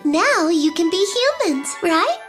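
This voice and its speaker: A little girl in a storybook fantasy game, her voice very high and childlike. She sounds curious and wistful, musing to herself and her pet with music behind her. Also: very animated, measured pace, fairly bright tone, exaggerated voice. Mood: curious, playful.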